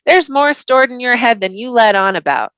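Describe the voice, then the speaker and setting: A woman's voice: on the high side, animated, normal speed, fairly bright tone, no echo, highs cut off, pitched above the performer's own voice. A woman voicing a cheerful, teasing young male hobbit who coaxes his companions, in a storybook. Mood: coaxing.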